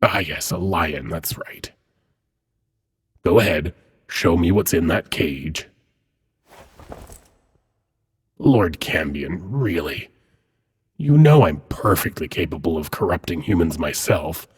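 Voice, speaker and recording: A man playing a suave, haughty demon prince in a dramatic audio roleplay, speaking in a husky, measured, courtly and condescending manner. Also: low-pitched, expressive, full character voice. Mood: courtly, amused, then offended, condescending. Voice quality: husky, breathy. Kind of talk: dramatic ASMR roleplay monologue.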